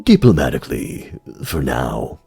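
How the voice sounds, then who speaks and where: A man voicing a proud insectoid commander in a fantasy audio story, speaking in a slow, formal, measured way. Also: very deep voice, big pitch swings, gravelly, warm tone, slightly rough, soft background music, pitched below the performer's own voice, full character voice. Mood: solemn, guarded. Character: proud disciplined male insectoid nerubian military commander.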